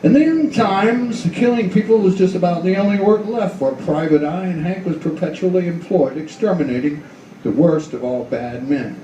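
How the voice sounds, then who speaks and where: High-pitched, mellow male noir narrator, echoey. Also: moderate pace, muffled recording. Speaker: narrator, author reading his story. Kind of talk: scripted noir radio-play narration. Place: live reading in a roomy venue. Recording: echoey room, distant mic, faint noise.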